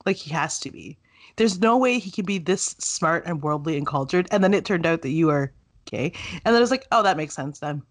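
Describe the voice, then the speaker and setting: A woman co-hosting a podcast in casual chat, animated and playful, often laughing. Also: low voice, fairly quick. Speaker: podcast co-host.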